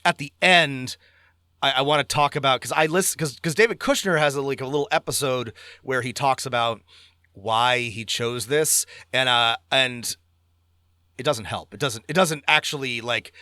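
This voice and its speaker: A man's voice: medium pitch, normal speed, bright, forceful tone, dry recording, clean studio sound. A man co-hosting a podcast in casual review chat, speaking emphatically and repeating words for stress. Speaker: podcast co-host.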